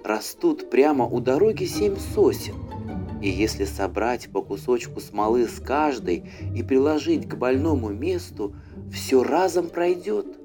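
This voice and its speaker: A male audiobook narrator tells a children's fairy tale expressively, with animated intonation and a medium-pitched voice. Faint music plays behind him. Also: unhurried.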